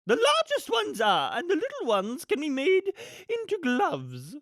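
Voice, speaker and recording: Haughty rich villainess, very high swooping voice, theatrical, commanding, gleefully murderous, storybook reading. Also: female voice, wildly swooping pitch, a little slow, dry recording, studio quality, exaggerated voice. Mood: commanding, impatient.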